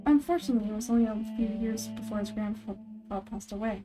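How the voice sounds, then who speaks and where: A woman's voice: fairly high pitch, fast talker, over music, natural voice. A woman voicing a composed, kindly female guardian of a desert village in a video game. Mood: solemn. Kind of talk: video game dialogue read-along.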